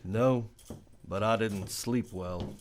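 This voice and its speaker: A man acts a stern lawman in an old-style western radio drama. His American voice is on the low side. He speaks tersely and dryly, with weary authority. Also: slow pace, slight background noise. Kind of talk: old-time western radio play acting.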